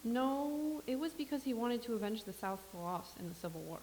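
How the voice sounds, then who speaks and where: A woman's voice: fairly high pitch, animated, normal speed, darkish tone, rough recording. A woman in a staged reading playing a dry, sardonic woman in a coffee shop.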